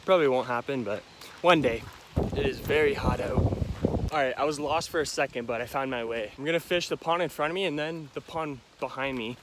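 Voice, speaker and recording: A man's voice: medium pitch, a little fast, very noisy. A young man fishing at a pond, talking to himself in casual, sarcastic running commentary on a head-mounted camera. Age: young adult. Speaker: fishing vlogger. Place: outdoors by ponds in tall grass. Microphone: head-mounted action camera.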